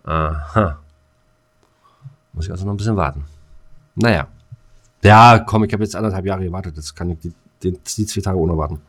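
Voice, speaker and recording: A man's voice: low voice, moderate pace, pauses often, a bit bright. A man with a German accent chatting as a podcast co-host in a rambling, slangy way that jumps between thoughts. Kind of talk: casual chat.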